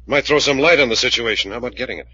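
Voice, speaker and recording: An actor playing a brisk, thoughtful adventurer-detective in old-time radio, clipped and businesslike. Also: male voice, medium pitch, pushed and bright, husky, no echo. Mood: terse, puzzled. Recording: muffled vintage radio recording.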